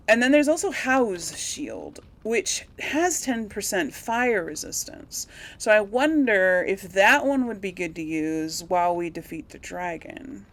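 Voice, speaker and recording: A woman hosting a gameplay video in casual commentary, rambling and thinking aloud as she repeats herself. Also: lowish voice.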